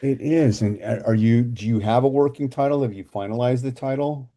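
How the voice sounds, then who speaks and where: A man's voice: medium pitch, a little fast, mellow. A middle-aged man hosting a casual interview show, rambling a little with fillers and repeated words. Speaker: podcast host.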